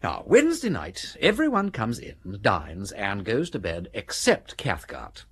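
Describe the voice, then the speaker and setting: An actor playing a witty aristocratic English amateur detective in a British radio drama, brisk and theatrical as he reasons aloud. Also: fairly low pitch, huge pitch swings, a bit bright, slightly rough, heavily acted. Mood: keen, argumentative. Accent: upper-class English.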